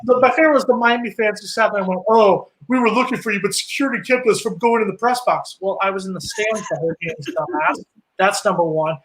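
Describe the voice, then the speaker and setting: A man co-hosting a casual sports chat, ranting sarcastically in a high voice. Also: quick pace, a bit bright, a little hiss or hum, low-quality recording. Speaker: co-host.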